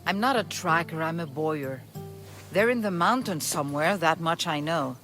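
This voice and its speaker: An actor playing an impatient, sceptical bow maker with a curt, scoffing manner in a video game. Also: male voice, fairly high pitch, animated, moderate pace, sharp tone, faint music behind. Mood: gruff explaining. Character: grouchy, sceptical, impatient male bowyer, grudging craftsman. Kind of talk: video game cutscene acting.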